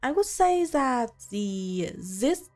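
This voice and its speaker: Slow-paced, musing woman game streamer. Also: on the high side, big pitch swings, darkish tone. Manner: musing, thinking aloud. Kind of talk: casual live playthrough commentary. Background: faint game music.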